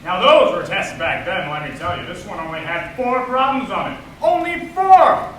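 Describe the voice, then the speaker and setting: Man acting high-voiced boastful father, echoey stage. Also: sing-song, moderate pace, a bit bright. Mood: boastful, excited. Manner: theatrical, pompous, oblivious. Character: dim, self-absorbed, boastful father in a comic play. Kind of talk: projected amateur stage comedy acting. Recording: echoey hall, distant mic, noisy.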